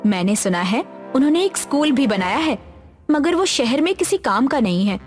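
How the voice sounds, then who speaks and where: A woman playing a supportive young female friend in an animated story, questioning and helpful. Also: sits high, normal speed, slightly rough, soft background music, heavily acted. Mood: reassuring. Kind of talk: Hindi animated story voice acting.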